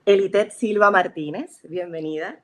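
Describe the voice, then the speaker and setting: A woman host with a lowish voice reads out her guests' biographies. She keeps a measured, formal reading pace. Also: fairly bright tone.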